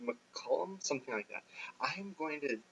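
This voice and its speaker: Opinionated young male co-host, halting delivery, muffled recording. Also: expressive, gravelly, fairly bright tone. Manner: blunt and opinionated, many filler pauses. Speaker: podcast co-host. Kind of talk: casual film podcast chat. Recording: muffled low-quality recording.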